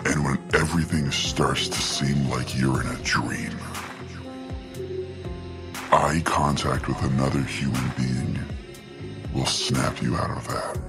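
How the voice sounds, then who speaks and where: Ominous Reaper for an audio story, extremely slow, monotone and grave, over music. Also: male voice, rumbling low, creaky, voice pushed down, heavily acted. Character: Grim Reaper, slow ominous dream demon.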